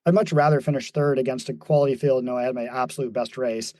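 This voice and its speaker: Casting: man in his 40s, warm mellow tone, dry close sound, thoughtful and halting. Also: mid-range voice, fairly even intonation, no echo. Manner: thoughtful, many fillers and restarts, laughs easily. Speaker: podcast guest, mountain runner. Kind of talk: reflective casual conversation.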